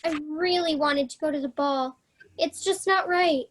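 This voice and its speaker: A woman's voice: high voice, a little slow. A woman playing a wistful, sarcastic young fairy tale heroine in a children's play, earnest with a dry edge. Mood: disappointed, sarcastic. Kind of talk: staged reading of children's theater fairy tale.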